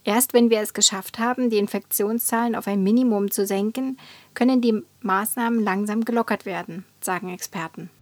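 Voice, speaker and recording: A woman's voice: mid-range voice, a little fast, dry recording, natural voice. A woman playing a matter-of-fact online science explainer, reading a scripted post. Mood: sober, informative. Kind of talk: scripted explainer in an audio collage.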